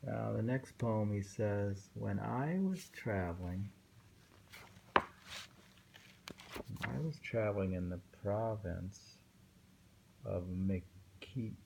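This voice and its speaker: A man reading poems aloud informally and commenting on them in a halting, musing way. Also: lowish voice, gravelly, background noise. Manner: halting, musing, with pauses and self-questioning. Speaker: reader and host discussing poems.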